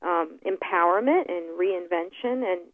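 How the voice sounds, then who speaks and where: A woman's voice: lowish voice, a little slow, no room sound. A woman guest in a casual interview, talking with lots of fillers and restarts over the phone. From New York. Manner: hesitant, many fillers and restarts. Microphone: telephone. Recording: tinny phone line.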